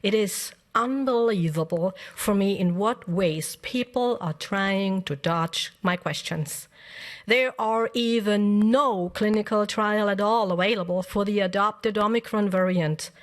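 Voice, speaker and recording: A woman member of parliament reading a prepared press conference statement in a firm, measured way. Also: medium pitch.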